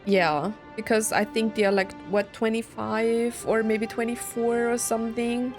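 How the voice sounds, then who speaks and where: A woman's voice: a little high, little pitch movement, a little slow. A young adult woman giving casual let's play commentary, chatty and thinking aloud as she goes. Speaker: game streamer. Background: game music.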